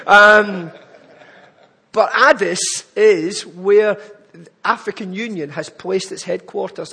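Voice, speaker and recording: A man's voice: sits high, creaky, fairly bright tone, husky, big-room sound. A man with a Glaswegian accent giving an earnest, pleading charity appeal in church. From Scotland. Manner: earnest, pleading, building to emphatic lists.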